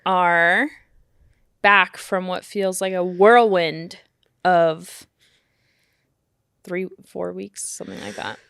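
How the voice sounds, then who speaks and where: A woman of thirty co-hosting a podcast in casual chat, talking in an animated way, often laughing and filling with "like". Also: fairly low pitch, takes it slowly, creaky, pauses often, smooth, no echo, clean studio sound. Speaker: podcast co-host.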